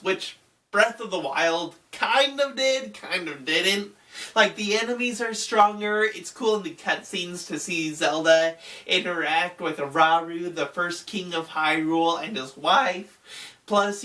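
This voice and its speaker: Young woman vlogger, stuffy and congested, unhurried rambling chat, a bit off-mic. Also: fairly low pitch, a bit bright, recorded from a distance. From Utah. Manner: chatty, rambling, lots of "like" and "I mean". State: congested from a cold. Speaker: gaming channel host. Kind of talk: casual game recommendations.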